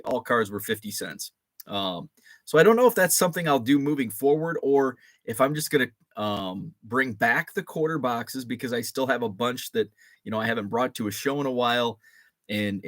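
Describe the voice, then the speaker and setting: A man's voice: medium pitch, a bit bright, dry recording. Groggy middle-aged man hosting a chat show. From Illinois. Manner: rambling, with many fillers and restarts. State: a bit groggy and deeper-voiced after a late night. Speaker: host. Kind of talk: casual live-show chat.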